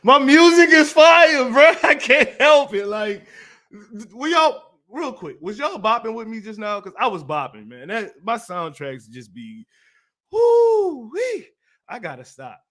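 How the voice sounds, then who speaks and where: A man's voice: very high-pitched, very animated, measured pace, bright, forceful tone. A man hosting a gaming channel livestream, casually chatting and answering viewers in a slangy, playful, rambling way. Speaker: YouTube host of a gaming community channel.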